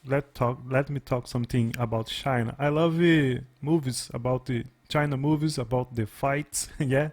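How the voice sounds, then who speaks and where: A man's voice: mid-range voice, normal speed, hardly any pauses. A man from Brazil whose first language is Portuguese, chatting in English on a practice call, friendly and repeating words. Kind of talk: casual conversation practice.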